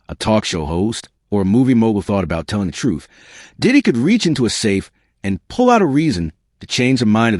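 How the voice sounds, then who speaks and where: A man delivering scripted, dramatic commentary as a host, speaking straight to the listener with emphatic repetition and pauses. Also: fairly low pitch, dry recording, clean studio sound. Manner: addresses the listener directly. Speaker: host of an online celebrity scandal commentary show.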